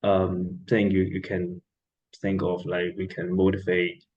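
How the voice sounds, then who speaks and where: Low-voiced male interviewer, halting. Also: completely flat delivery, darkish tone, highs cut off. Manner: halting, many fillers and repeated words. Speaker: interviewer, book club host. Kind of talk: interview questions.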